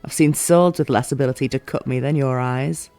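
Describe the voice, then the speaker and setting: A woman playing a teasing, playful fantasy ruler in a scripted audio roleplay, with formal, expressive phrasing. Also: very deep voice, fairly quick, no room sound, faint music behind.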